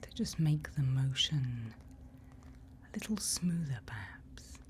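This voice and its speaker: A woman performing a whispered ASMR roleplay as a calm night-watch guide, breathy, instructive and reassuring, close to the microphone. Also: a bass voice, takes it slowly. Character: calm female night-watch guide in a dark monster-filled forest. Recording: faint background ambient noise.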